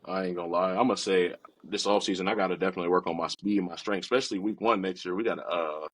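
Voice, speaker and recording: A man's voice: low voice, fairly even intonation, fast talker. A young college football player from Cleveland talking earnestly about his goals, often saying "I ain't gonna lie.". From Cleveland, Ohio. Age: young adult. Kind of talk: casual podcast chat.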